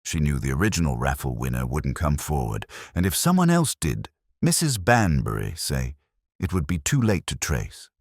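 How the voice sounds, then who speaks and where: A man's voice: a bass voice, big pitch swings, moderate pace, a little mellow, no echo, clean studio sound, full character voice. A man playing a careful, inquisitive detective in an audio mystery story. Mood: reasoning. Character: careful, questioning detective, the inspector's colleague.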